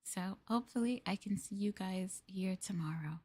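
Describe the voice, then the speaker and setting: Brief: young American woman, close-mic ASMR maid host, gentle, mid-pitched voice. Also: normal speed. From United States. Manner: soft-spoken, calls listeners master, speaks of herself as the maid. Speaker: streamer. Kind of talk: ASMR maid roleplay stream chat. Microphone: close ASMR microphone.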